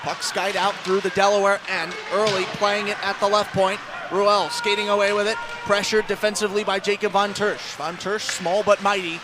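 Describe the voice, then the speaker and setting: A man doing live radio play-by-play commentary of an ice hockey game, describing the action in rapid detail and lifting his voice with the action. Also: high voice, flat delivery, noisy background. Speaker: play-by-play sports announcer.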